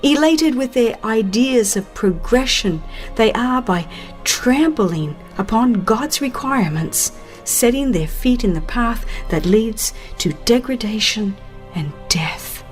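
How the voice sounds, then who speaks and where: A woman reading scripted religious narration as an audiobook, with solemn, deliberate and dramatic phrasing. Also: on the high side, expressive, soft background music.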